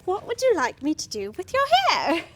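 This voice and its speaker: A woman in a staged play reading, playing a genteel, fussily polite hairdresser given to bright exclamations. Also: very high-pitched, huge pitch swings, normal speed, a little hiss or hum, heavily acted. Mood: bubbly, chatty. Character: chipper, polite hairdresser woman in a soap-opera-era salon. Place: children's theatre stage, filmed staged reading.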